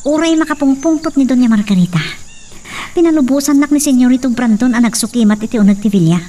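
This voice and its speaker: Earnest daughter, high-pitched, emotional melodrama, a little far from the mic. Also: female voice, fast talker, dark tone. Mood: worried. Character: earnest, determined daughter searching for her father. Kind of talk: melodramatic radio drama acting.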